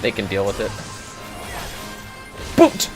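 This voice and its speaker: Low-voiced man coaching through a game. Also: wildly swooping pitch, slow. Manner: informal, swears, explains step by step. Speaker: livestreamer, gaming host. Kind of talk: casual gameplay commentary and coaching. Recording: music playing behind the voice.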